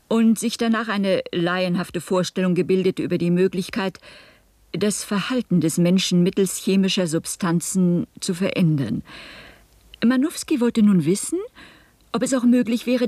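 A woman's voice: medium pitch, a little mellow, no room sound, lightly acted. An actress playing a composed, educated female witness who speaks formally and carefully in a 1970s German radio play.

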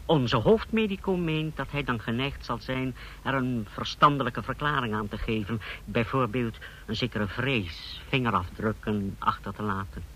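A male actor plays a dictator's scheming aide with a crisp-edged, bright voice at middle pitch. He explains with formal precision and deference, in the manner of radio drama. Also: moderate pace, a little hiss or hum, natural voice, low-quality recording. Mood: formal, self-assured. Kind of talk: Dutch radio drama acting.

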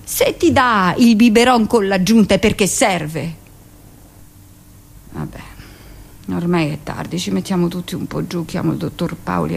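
An actress playing a brusque, weary middle-aged hospital midwife in a colloquial, scornful radio monologue. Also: female voice, a little high, vocal fry, a little hiss or hum. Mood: resigned, matter-of-fact. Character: brusque, weary 45-year-old hospital midwife, dismissive and self-justifying.

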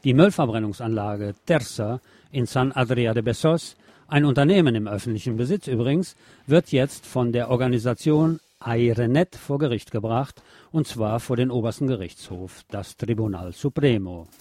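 A man's voice: medium pitch, normal speed, dark tone, no echo. A man presenting scripted local news on the radio, reading as a narrator of reports.

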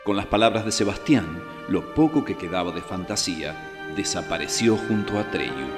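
A man's voice: low-pitched, lively intonation, moderate pace. A man narrating a fantasy radio drama as a grave storyteller. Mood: solemn. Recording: long reverb with music bed.

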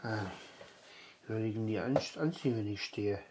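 An actor as a helpless old man in a radio drama. He grumbles haltingly and stubbornly in a low voice, with faint noise behind. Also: a little mellow, lightly acted. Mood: helpless, grumbling. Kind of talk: naturalistic radio drama acting.

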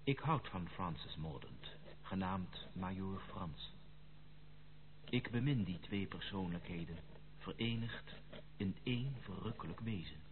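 Lovestruck gentleman reading out his letter, very low formal voice, old radio play, tinny and noisy. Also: lively intonation. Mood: yearning, earnest. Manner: formal, literary, measured.

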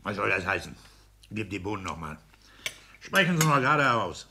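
An actor playing a boastful, bossy petty-bourgeois husband in a German radio play, with a blustering, commanding manner. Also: male voice, on the low side, sing-song, brisk. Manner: blustering, commanding, self-satisfied. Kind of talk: 1960s German radio drama acting. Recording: hissy 1960s radio play recording.

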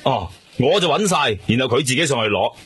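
A man playing a cocky, resentful young police detective with a husky voice in a Hong Kong Cantonese radio drama. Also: mid-range voice, animated, a little fast, a bit bright, no echo.

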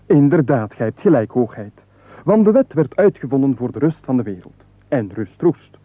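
An actor playing a penniless, wily squire and unstoppable babbler in a comic radio play, with flowery, wordy, mock-deferential speech. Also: male voice, medium pitch, lively intonation, normal speed, no room sound, natural voice. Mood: glib, rambling. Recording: muffled narrow-band 1980s radio recording.

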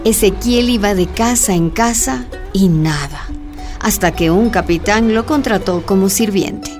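A woman narrating a scripted radio drama as a storyteller, with dramatic pauses. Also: mid-range voice, over music.

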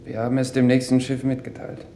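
A man's voice: mid-range voice, completely flat delivery, brisk, warm tone, close to an everyday voice. An actor playing a terse, indifferent colonist spokesman in a 1950s German radio play, speaking in a matter-of-fact way. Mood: indifferent, brisk. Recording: dull roomy 1950s radio recording, hiss.

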